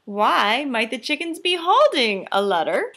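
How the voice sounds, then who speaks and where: A woman reads a children's story in a teacherly, expressive way. She takes her time, and her melody rises and falls widely. Faint noise sits on the recording. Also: high-pitched, measured pace. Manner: expressive, instructive, careful phrasing. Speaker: teacher demonstrating a read-aloud. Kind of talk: children's picture-book read-aloud with teaching questions.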